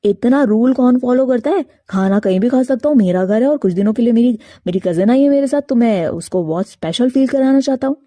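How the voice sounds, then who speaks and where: A woman's voice: on the high side, fairly quick, hardly any pauses, warm tone, no room sound, close to an everyday voice. A woman voicing a carefree, defiant young male crow in a cartoon moral story, talking casually and brushing off objections. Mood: irritated. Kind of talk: Hindi animated moral story character.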